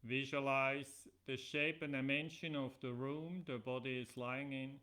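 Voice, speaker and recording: A middle-aged man teaching yoga nidra, giving guided relaxation instructions in measured, deliberate phrases with pauses. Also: mid-range voice, steady tone, pushed and bright.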